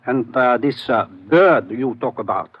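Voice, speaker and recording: A fussy, droll German-accented male hotel clerk from a vintage radio drama. His mid-pitched voice is formal and wordy. The old recording sounds slightly muffled. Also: expressive, measured pace, breathy edge. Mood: droll, obliging. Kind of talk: 1950s radio drama acting.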